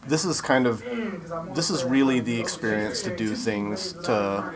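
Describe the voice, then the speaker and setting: A man's voice: mid-range voice, a little slow. A man giving earnest, explanatory answers as a guest in a casual interview. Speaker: interview guest, video game developer. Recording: crowd chatter around the voice. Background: crowd chatter.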